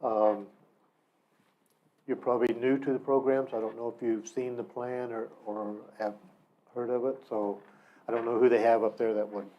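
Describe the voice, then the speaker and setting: A man, a county commissioner, talking at a public meeting in a halting, rambling way that repeats and restarts itself. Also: medium pitch, flat delivery, lo-fi sound. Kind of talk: casual remarks at a public meeting.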